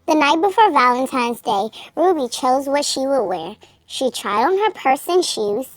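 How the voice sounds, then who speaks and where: A woman reading a children's storybook aloud, with measured, expressive phrasing for young listeners. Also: high voice, no echo. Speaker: children's book reader. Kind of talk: storybook read-aloud narration.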